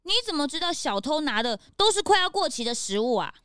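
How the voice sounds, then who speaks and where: A woman's voice: high-pitched, sing-song, fast talker, a bit bright, no echo, clean studio sound. A woman voicing a perky, curious young male peanut sidekick with a Taiwanese Mandarin accent, wide-eyed and questioning, in a children's audio drama. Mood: questioning, insistent.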